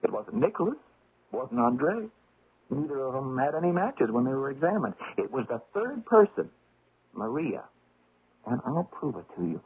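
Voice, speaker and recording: An actor playing an impulsive, stubborn investigator, agitated and insistent in a theatrical radio drama style. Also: male voice, mid-range voice, very animated, moderate pace, husky. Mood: smug, determined. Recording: dull 1970s radio drama recording.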